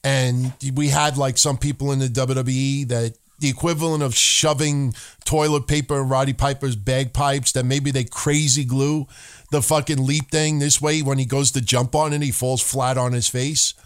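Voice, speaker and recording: A man co-hosting a wrestling podcast in casual, profane chat, animated and swearing freely, sometimes slipping into joking broken-English voices. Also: mid-range voice, moderate pace, clean tone.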